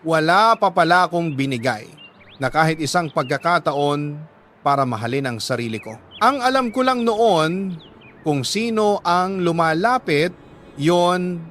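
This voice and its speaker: A Filipino man with a slightly high voice tells a dramatic first-person story in the manner of a radio letter reader. His delivery is measured and emotive, with deliberate pauses between short phrases. Some background noise sits behind the voice. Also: a bit bright.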